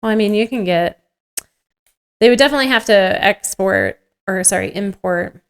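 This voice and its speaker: A woman's voice: mid-range voice, moderate pace, smooth. A woman co-hosting a podcast in casual conversation, given to dry, joking asides. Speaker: podcast co-host.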